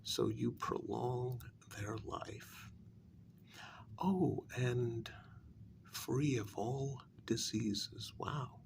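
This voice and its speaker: A man playing a sly, smug kidnapper taunting his captive in an ASMR role-play, speaking softly and breathily, often half-whispering, in a halting, wryly sarcastic way. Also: mid-range voice, creaky, slight background noise. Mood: probing, grudgingly impressed. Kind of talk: ASMR role-play, soft-spoken monologue.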